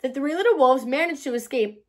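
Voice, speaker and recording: A woman narrating a children's storybook aloud in an expressive, deliberate storytelling manner. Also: high-pitched. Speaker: read-aloud host.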